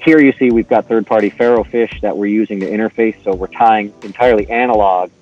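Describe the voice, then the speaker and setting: A middle-aged man giving an informal, halting technical walkthrough as a podcast guest, step by step. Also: fairly low pitch, fairly even intonation, dull audio, faint music behind. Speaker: podcast guest, intercom engineer.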